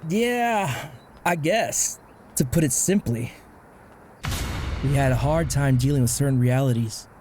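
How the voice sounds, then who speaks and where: A man's voice: medium pitch, unhurried, a little mellow, slight background noise, lightly acted. A man playing an easygoing, self-deprecating middle-aged father in a comic dub, with a casual, reflective way of talking. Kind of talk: amateur comic dub acting.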